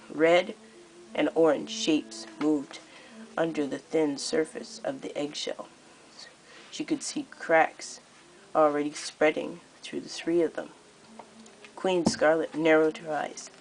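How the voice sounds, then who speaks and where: A teenage girl reading a story aloud as an amateur narrator, expressive but a little halting. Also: deep voice, rough recording. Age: teens. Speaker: amateur audiobook reader. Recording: noticeable background noise.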